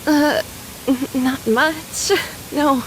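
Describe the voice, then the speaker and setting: A woman's voice: high-pitched, fairly even intonation, extremely slow, a little hiss or hum, low-quality recording. A woman voice-acting a proper, saintly young woman in a video game, earnest, polite and precise in her way of speaking. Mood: hesitant, puzzled.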